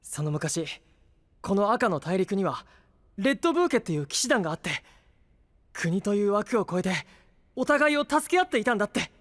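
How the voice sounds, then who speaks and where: A man's voice: high voice, big pitch swings, a little fast, fairly bright tone. A man playing an earnest, hot-blooded young knight in an anime audio drama, sincere and eager in delivery. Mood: eager, hopeful.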